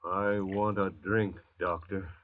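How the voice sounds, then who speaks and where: An actor playing a surly, hot-tempered younger convict, blunt and sneering, in an old-time radio drama. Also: male voice, low-pitched, flat delivery, slow. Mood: sneering, impatient. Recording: thin, phone-like vintage radio recording.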